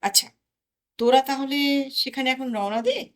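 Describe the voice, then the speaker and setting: A woman's voice: sits high, measured pace, a bit bright, voice pushed up. A woman voicing a cocky teenage boy who spins boastful tall tales in a scornful, emphatic way for an audio story.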